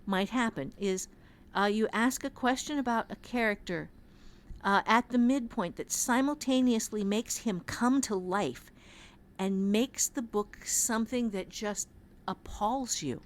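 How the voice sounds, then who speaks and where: A woman talking casually on a podcast as an author and writing teacher, telling her story in a halting, reflective way. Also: fairly high pitch.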